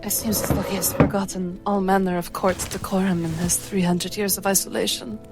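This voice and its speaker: A woman's voice: medium pitch, level tone, a little fast, music in the background, natural voice. A woman voicing a devoted, courtly royal retainer in a fantasy roleplay, with formal, decorous speech. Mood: solemn.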